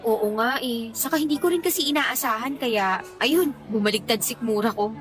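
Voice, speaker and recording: A woman's voice: fairly high pitch, normal speed, natural voice. A woman playing a petulant, jealous young mother venting to a friend in a Tagalog radio drama, whiny, blunt and slangy. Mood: exasperated. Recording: music behind the voice.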